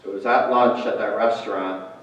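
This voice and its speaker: A man's voice: on the low side, fairly even intonation, a little fast. A man reading a written tribute aloud at a memorial service. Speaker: family friend reading his father's tribute. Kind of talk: memorial speech read aloud. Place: large reverberant hall at a memorial service. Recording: muffled, echoey hall, distant mic.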